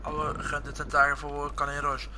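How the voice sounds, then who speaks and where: A man's voice: fairly high pitch, monotone, quick pace, heavy background noise. A young man with a Swiss German accent, chatting casually with viewers while he streams a video game, rambling with many fillers. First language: Swiss German and Italian. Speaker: gaming streamer. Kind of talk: casual live-stream chat while gaming.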